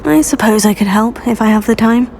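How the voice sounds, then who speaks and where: A fairly high, composed British young woman's voice from an actress as a helpful student witch in a video game. She is measured and kindly, with faint noise behind her. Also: a little mellow, lightly acted. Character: calm, helpful teenage witch, new student heroine. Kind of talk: video game dialogue acting. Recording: faint background ambient noise.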